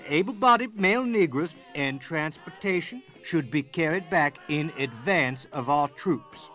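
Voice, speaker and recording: A man's voice: on the high side, expressive, unhurried, sharp tone, faint music behind. A man playing a stern, calculating Confederate officer, delivering a military letter in a formal, declamatory theatrical reading. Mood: stern, urgent. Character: stern, calculating male Confederate officer reporting to his general. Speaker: actor in a dramatic reading.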